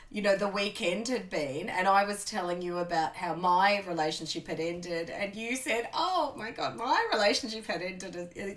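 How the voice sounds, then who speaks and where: A woman hosts a podcast. She talks in a chatty, rambling way, full of ums and restarts. Her voice sits at an ordinary middle pitch. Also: fairly bright tone. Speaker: podcast host. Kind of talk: casual conversational interview.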